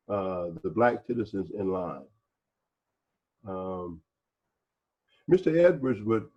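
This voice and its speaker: A man speaks reflectively in a discussion, halting and deliberate, often repeating words as he searches for the next one. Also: medium pitch, slightly rough, lo-fi sound. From North Carolina, United States. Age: 60s. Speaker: panel speaker, former special agent.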